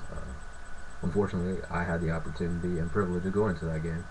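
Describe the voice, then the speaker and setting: A young man from California, sick with a sore throat and raspy, ranting and swearing in a rambling, filler-heavy way. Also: low-pitched, fairly even intonation, fast talker, background noise. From Fresno, California. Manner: profane, rambling, lots of filler. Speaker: sports fan vlogger. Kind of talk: casual ranting vlog.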